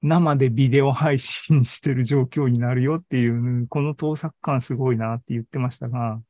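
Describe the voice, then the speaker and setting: A man's voice: mid-range voice, steady tone, normal speed, dark tone, no echo. A man giving an informal tech talk as an online presenter, thinking aloud and often checking for agreement. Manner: conversational, seeks agreement with frequent sentence-end tags. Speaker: presenter of an online AI seminar and podcast. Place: indoors, live online video meeting.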